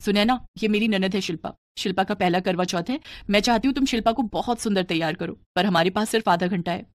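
A woman voices a young sister-in-law in an animated moral story. She is kind, practical and reassuring, with a medium pitch and a dry, close sound. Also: fast talker, dry recording, natural voice.

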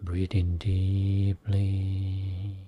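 Very slow, low-voiced male meditation guide. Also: completely flat delivery, mellow, breathy edge. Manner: slow, soothing, short phrases with long pauses. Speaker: Buddhist meditation teacher.

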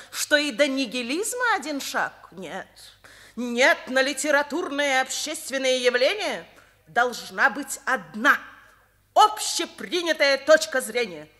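An actress playing a rigid, principled older schoolteacher, emphatic and lecturing, in Soviet radio theatre. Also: female voice, high voice, sharp tone, full character voice. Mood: insistent, defensive.

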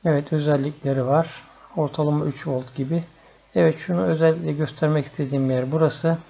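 A man narrating an informal electronics tutorial in an unhurried, matter-of-fact way. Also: fairly high pitch, steady tone, vocal fry, slightly rough. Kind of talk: informal explanatory screencast narration. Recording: dull, lo-fi screencast audio.

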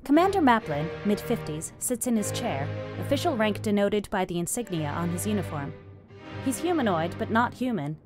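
A woman's voice: mid-range voice, big pitch swings, moderate pace. Woman narrating a drama script. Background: faint music.

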